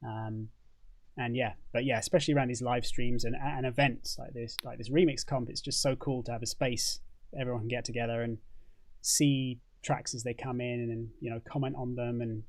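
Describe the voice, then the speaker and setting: A man's voice: medium pitch, moderate pace. Chatty British man hosting a livestream. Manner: relaxed, chatty, with frequent fillers and restarts. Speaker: host. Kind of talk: casual livestream chat. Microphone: condenser mic.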